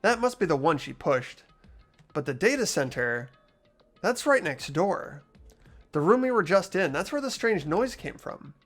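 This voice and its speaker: Man as inquisitive schoolboy game protagonist, earnest, expressive intonation, slightly high voice, light music underneath. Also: unhurried, heavily acted. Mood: curious, tense. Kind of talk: acted visual novel dialogue and inner narration. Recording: music behind the voice.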